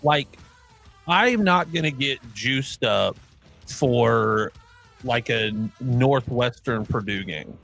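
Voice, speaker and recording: A man's voice: mid-range voice, animated, takes it slowly, creaky, fairly bright tone, soft background music. A man from the Midwest with a mix of Midwestern and Oklahoma accent, co-hosting a casual sports chat and rambling with many restarts. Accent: mixed Midwestern and Oklahoma. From Midwestern United States. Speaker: co-host.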